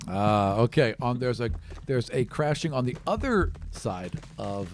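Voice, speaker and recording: Male game master, mid-pitched, improvised scene narration and casual table talk, noticeably noisy audio. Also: lively intonation, measured pace.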